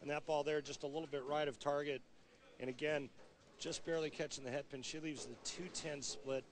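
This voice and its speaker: A man's voice: fairly high pitch, fairly even intonation, fairly quick. A middle-aged American man giving analytical bowling commentary in an explanatory, conversational way. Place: bowling centre during televised championship. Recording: broadcast over loud venue noise. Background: loud bowling venue ambience.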